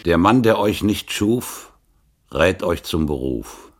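A man's voice: sits low, lively intonation, slow, husky, soft background music, exaggerated voice. An actor playing a solemn, stern male proclaimer in a radio play, delivering weighty, ominous pronouncements.

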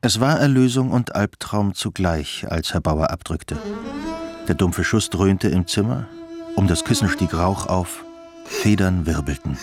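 Tiefer, ernster Erzähler. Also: male voice, low voice, animated, a little slow. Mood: sombre. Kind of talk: scripted literary narration in a radio play. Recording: music behind.

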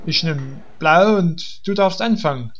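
A man's voice: fairly high pitch, animated, measured pace, fairly bright tone, muffled recording. A man playing a dim, touchy, self-important paladin with an East German accent in a comedic fantasy audio drama. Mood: smug. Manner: plodding, naive, self-important.

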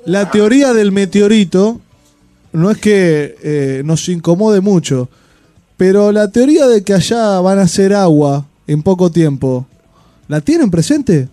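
High-voiced teasing Argentine radio host. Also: male voice, animated, measured pace, mellow, no echo, faint music behind. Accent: Argentine Spanish. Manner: joking, teasing, crude banter. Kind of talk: casual radio chat.

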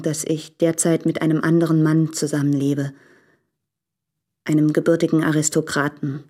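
An actress playing a tender, regretful woman addressing her former lover in a radio play, speaking in a reflective, restrained, deliberate way. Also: sits low, warm tone. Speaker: radio drama actor. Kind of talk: intimate literary German radio play monologue.

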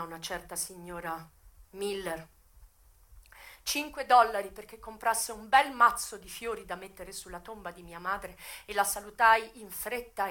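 An actress playing an American brothel madam who recalls her hard youth in a bitter, frank, emotive theatrical monologue for radio. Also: medium pitch. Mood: somber, matter-of-fact. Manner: emotive, deliberate phrasing with sharp swings from hushed to loud. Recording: voice over music. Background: music.

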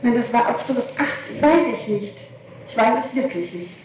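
Woman on old court tape, mellow voice, hesitant and dodging, really echoey and far off. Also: on the high side, expressive, moderate pace, slight background noise. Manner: hesitant, evasive, repeating phrases. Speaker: defendant being questioned. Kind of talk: courtroom testimony. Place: large echoing room, distant mic.

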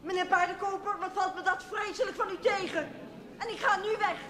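An actress playing a fussy, indignant spinster who calls out in protest in a radio play. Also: female voice, fairly quick, pushed and bright. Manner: shrill, indignant calling out. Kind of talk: radio drama acting. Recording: echoey hall, off mic.

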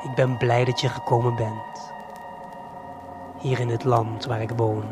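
A man narrates a surreal audio play straight to the listener, with intimate, deliberate, hypnotic phrasing. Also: medium pitch, level tone. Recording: music under the voice. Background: music.

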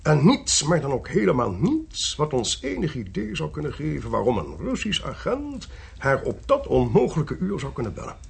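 Husky, gravelly, suave official. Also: male voice, a little high, wildly swooping pitch, normal speed, full character voice. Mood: expository, intrigued. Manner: urbane, wordy, theatrical. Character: suave, talkative male official, politely sly and self-possessed. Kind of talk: radio drama acting.

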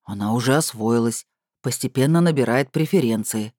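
A woman reader voicing a mighty, irascible male being with a rumbling, grumbling bass in a storybook. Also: normal speed, mellow, dry recording, studio quality. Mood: uneasy. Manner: grumbling, brooding.

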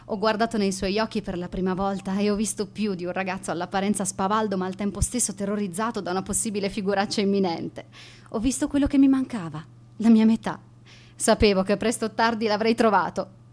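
A woman's voice: mid-range voice, fairly even intonation, fast talker, a little mellow, close to an everyday voice. A young woman acting in a radio drama as a romantic, sincere teenage schoolgirl, earnest and emotional. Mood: dreamy, earnest.

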